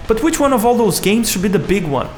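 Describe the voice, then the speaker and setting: Man, high pitch, video essay host, narration over music. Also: sing-song, fast.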